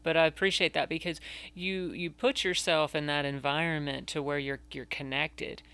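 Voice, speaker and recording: A man's voice: fairly high pitch, level tone, moderate pace, bright, forceful tone, smooth. A man hosting an interview podcast, affirming his guest and laughing along. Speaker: podcast host. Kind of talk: casual interview talk.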